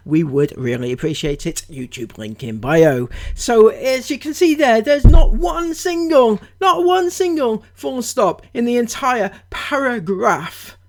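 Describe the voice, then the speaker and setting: A man with a cold, giving a mocking, chatty commentary as a vlogger, full of asides and fillers. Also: sits high. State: has a cold. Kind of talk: sarcastic commentary.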